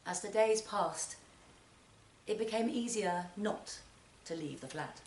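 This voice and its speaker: Woman, live story reading, measured and expressive, deliberate pauses, roomy sound, distant mic. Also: mid-range voice. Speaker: actor reading a short story. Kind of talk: dramatic literary reading to a live audience. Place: performance space in a library centre.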